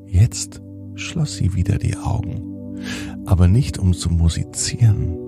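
A man narrating a children's bedtime story on a podcast in a slow, soothing manner that sinks to a whisper at the end. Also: sits low, lively intonation, gravelly, loud music behind the voice.

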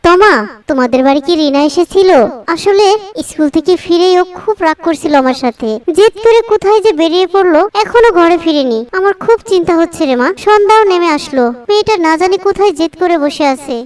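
A woman's voice: very high voice, expressive, brisk, a little mellow, recorded from a distance. A woman playing a worried, poor village mother in a cartoon. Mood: anxious. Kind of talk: cartoon voice acting.

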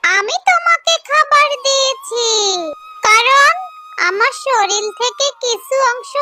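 A woman's voice: extremely high pitch, takes it slowly, very noisy. A woman voicing a kindly talking magic jackfruit in a children's cartoon, gracious and obliging. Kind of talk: Bengali children's cartoon dialogue. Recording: music clearly behind the voice.

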